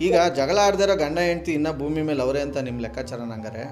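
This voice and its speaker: A man's voice: on the high side, animated, fast, darkish tone. A man with a southern Karnataka accent hosting comic prank calls, teasing and argumentative in a mock-scolding way. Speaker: radio prank call host. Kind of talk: comic prank phone call. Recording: music bed behind the voice.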